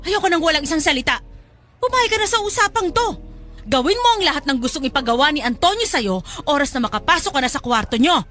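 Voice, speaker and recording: Woman playing pushy scolding mother, sharp tone, swooping pitch, cutting delivery, radio drama. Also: animated, fast talker, faint music behind. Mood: stern, pressuring. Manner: nagging, forceful, cutting. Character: domineering, scolding mother pushing daughter toward money. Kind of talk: Tagalog radio drama acting.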